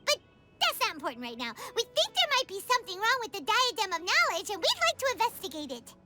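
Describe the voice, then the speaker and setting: A woman voicing a small, childlike floating fairy companion in an anime game, perky, excitable and a little nervous. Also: very high voice, quick pace, heavily acted. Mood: eager. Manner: refers to self in third person, perky. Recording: loud game music behind.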